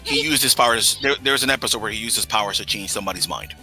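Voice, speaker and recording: A man's voice: fairly low pitch, racing, pushed and bright, soft background music, rough recording. A man from the United States talking in casual chat, explaining steadily with stammering restarts.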